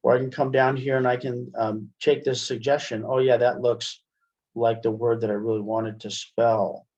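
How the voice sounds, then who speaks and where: A man giving a casual software demonstration as an instructor, conversational and thinking aloud as he goes. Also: medium pitch, little pitch movement, moderate pace. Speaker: instructor, webinar presenter. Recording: dull, band-limited webinar audio.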